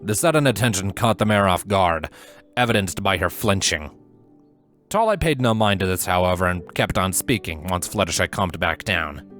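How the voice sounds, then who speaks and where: A man's voice: low voice, lively intonation, normal speed, fairly bright tone, faint music behind. A man reading a scripted story aloud as the narrator. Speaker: fan fiction narrator.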